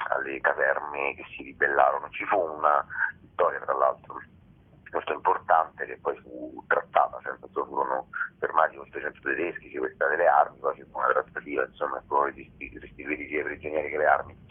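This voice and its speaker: A man with a very deep, rough-edged voice. He explains things informally in an interview, feeling his way with pauses. The sound is thin and boxed-in, as on a phone call. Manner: halting, explanatory, often pausing mid-sentence. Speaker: theatre author and actor, interview guest. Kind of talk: informal radio interview answers. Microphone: telephone. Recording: tinny, narrow phone line.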